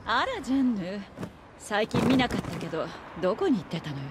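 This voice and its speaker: A woman's voice: mid-range voice, big pitch swings, fairly quick, very noisy, natural voice. An actress playing a glamorous, self-assured witch in a video game, teasing and mocking in coy, feminine speech. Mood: casual curiosity.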